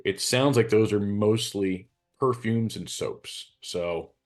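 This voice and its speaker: American male, very low register. Hazmat specialist on a panel, informal but measured and matter-of-fact. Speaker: hazardous materials expert on a panel show. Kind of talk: informal explanatory discussion.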